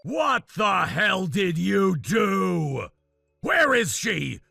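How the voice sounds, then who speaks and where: Actor doing an angry, defensive groom, gravelly, bright, slow and shouty. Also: mid-range voice, big pitch swings, music in the background. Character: desperate, angry young groom.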